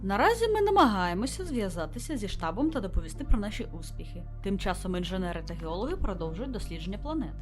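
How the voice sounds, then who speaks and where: A woman playing a composed space station commander in a visual novel game, logging mission reports in a formal, measured way. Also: medium pitch, sing-song, lightly acted. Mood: composed, reporting. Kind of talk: scripted visual novel voice acting, log narration. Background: music.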